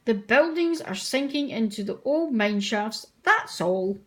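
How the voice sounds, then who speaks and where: A woman voicing a firm, authoritative male railway controller in a storybook, brisk and commanding. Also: fairly high pitch, lively intonation, fairly bright tone. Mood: brisk, reassuring.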